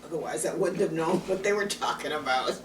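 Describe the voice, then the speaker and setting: An older woman from Chicago, answering her grandson's questions in a casual family interview, unhurried and speaking in short pieces. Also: sits low. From Chicago, United States. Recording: roomy, voice from far off, music behind.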